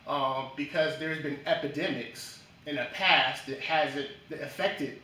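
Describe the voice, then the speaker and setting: A man from Jamaica, a guest in a casual interview, speaking in a measured way with frequent ums and uhs. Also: on the high side, pushed and bright, a little hiss or hum. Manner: measured, many ums and uhs, lists achievements. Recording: echoey room from a distance.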